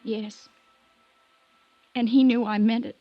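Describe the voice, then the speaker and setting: A woman's voice: on the high side, fairly even intonation, takes it slowly, soft background music. An actress playing a troubled, lovelorn young woman from a wealthy family in a 1950s radio drama, confiding wistfully and hesitantly. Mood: tender, wistful. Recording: muffled vintage radio recording.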